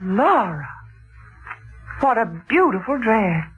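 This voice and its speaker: Sociable, curious radio-play wife, chatty, genteel, very lively intonation. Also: female voice, on the high side, slow pace. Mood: bright, admiring. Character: inquisitive, sociable American wife among friends. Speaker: radio drama actor. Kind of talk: 1940s radio acting. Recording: tinny vintage 1940s radio recording.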